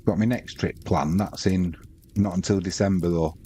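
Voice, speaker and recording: A man's voice: low-pitched, steady tone, normal speed, mellow, a little hiss or hum. A man with a Manx accent hosting a live sports stream, chatting casually and reading out viewer comments between bits of match commentary.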